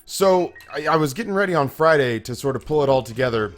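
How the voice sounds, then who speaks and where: A slightly hoarse young man hosting a gaming channel, telling a long, self-mocking story with many restarts while he plays. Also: on the high side, lively intonation, quick pace. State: a little hoarse. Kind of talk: casual let's-play commentary.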